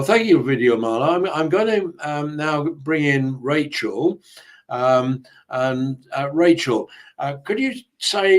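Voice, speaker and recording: A man moderating an online panel over a video call, talking hesitantly with many fillers and restarts. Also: mid-range voice. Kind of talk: casual panel discussion.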